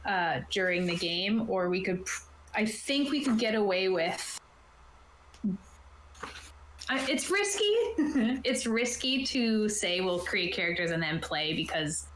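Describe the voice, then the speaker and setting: An echoey room surrounds this woman as she talks casually, with faint noise underneath. She is a chatty, enthusiastic game master who thinks aloud while explaining things, in a voice of middling pitch. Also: animated, takes it slowly, a bit bright. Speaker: game master and show host. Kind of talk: casual chat about tabletop roleplaying. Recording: roomy echo, faint background noise.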